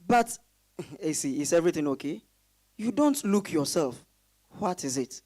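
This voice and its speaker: A woman playing a gentle, concerned visitor in a dramatized reading. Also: on the low side, expressive, a little slow. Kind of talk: dramatized stage-style reading.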